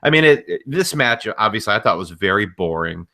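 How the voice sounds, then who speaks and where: A man's voice: fairly low pitch, big pitch swings, brisk, a loud crowd. A man co-hosting a casual wrestling podcast, speaking in an exasperated, emphatic way. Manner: exasperated, emphatic, mildly profane. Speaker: podcast co-host. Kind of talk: casual wrestling commentary chat.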